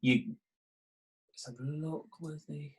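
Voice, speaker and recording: A man's voice: fairly high pitch, steady tone, takes it slowly. A man giving a live market walkthrough as a betting trading tutor, thinking aloud and often repeating himself. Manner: hesitant, repetitive, thinking aloud. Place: online conference call.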